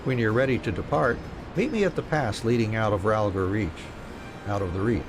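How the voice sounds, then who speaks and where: A man's voice: on the low side, normal speed. A man streaming a game, reading story dialogue aloud and chatting casually. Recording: steady noise behind the voice.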